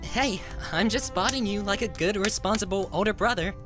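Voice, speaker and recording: A woman's voice: medium pitch, a little fast, music in the background, lightly acted. A woman voicing a teasing, casual young stallion, an older brother in a cartoon-style audio drama.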